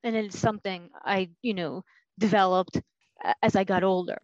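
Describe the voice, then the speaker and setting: A woman's voice: medium pitch, fairly even intonation, moderate pace, no echo, dull audio. A woman on a podcast, explaining her thoughts carefully in a casual chat.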